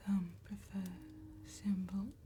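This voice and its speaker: A woman performing an elegant, courteous vampire tattooist in a soft-spoken ASMR roleplay, whispering breathily with formal, deliberate phrasing. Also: mid-range voice, flat delivery, a little hiss or hum, close to an everyday voice. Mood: hushed, mysterious.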